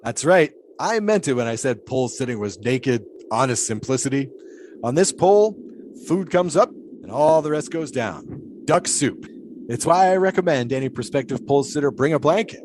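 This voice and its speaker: An actor playing a pious, philosophical 1920s flagpole sitter who talks in a folksy, preachy way. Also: male voice, mid-range voice, expressive, moderate pace, darkish tone, a little hiss or hum, lightly acted. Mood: musing. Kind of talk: audio drama acting.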